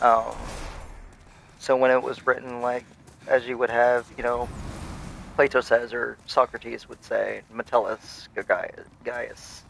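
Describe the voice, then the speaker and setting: A man streaming a game, musing on philosophy in a rambling, thoughtful way with many pauses and fillers. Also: flat delivery, gravelly, fairly bright tone, slight background noise. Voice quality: low-voiced. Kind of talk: casual musing while livestreaming a game.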